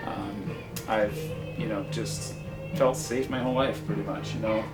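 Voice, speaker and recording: An American man giving a personal account at a meeting, speaking in a halting, reflective way. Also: lowish voice, steady tone, loud music behind the voice, poor audio. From United States, Michigan. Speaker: community meeting participant.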